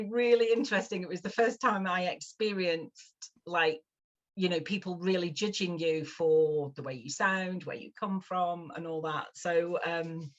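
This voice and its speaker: A woman's voice: lowish voice, dull audio. Northern English woman in her fifties. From northwest England. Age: 50s. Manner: chatty, with many fillers and restarts. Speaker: guest. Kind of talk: casual interview chat.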